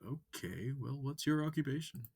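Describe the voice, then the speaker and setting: A man's voice: mid-range voice, expressive, normal speed, gravelly, darkish tone, husky, dry recording, voice pushed up. A man voicing a curious, eager alien wolf man from a visual novel, with hesitant, questioning delivery. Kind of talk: visual novel character reading.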